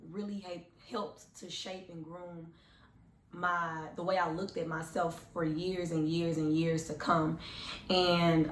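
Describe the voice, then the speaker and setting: Hoarse raspy young woman, echoey room. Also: fairly bright tone, slight background noise. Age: 20s. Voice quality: deep and raspy. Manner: chatty, earnest, talks straight to viewers. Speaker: vlogger. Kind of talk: casual personal storytelling to camera.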